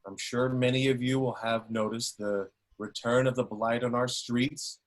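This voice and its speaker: A man's voice: mid-range voice, little pitch movement, unhurried, lightly acted. Male actor as village dignitary. Pompous, genial, formal, middle register. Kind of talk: film script table read.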